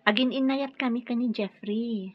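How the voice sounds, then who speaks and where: An actress with an Ilocano accent playing an earnest young daughter in love in a radio drama. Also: female voice, on the high side, moderate pace, no echo, dull audio, close to an everyday voice. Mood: earnest, pleading.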